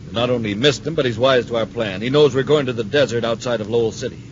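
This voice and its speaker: A man's voice: mid-range voice, fast talker, close to an everyday voice. Male villain played by an actor, commanding, menacing gang boss, 1950s radio acting, muffled noisy old recording. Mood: impatient, commanding. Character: scheming, murderous male villain, coldly confident gang boss.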